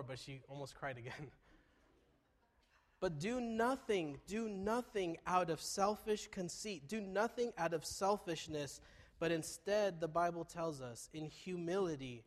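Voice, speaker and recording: Congested nasal male pastor in a hall. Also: a little high. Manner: conversational, self-deprecating humor. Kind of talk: sermon. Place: church sanctuary.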